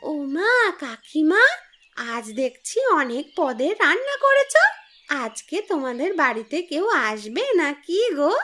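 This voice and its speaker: A woman's voice: sits high, very animated, measured pace, a bit bright, heavily acted. A woman voicing a poor, innocent little village girl in a cartoon fairy tale, speaking in a childlike, plaintive way. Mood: polite, meek. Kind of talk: animated fairy tale dialogue.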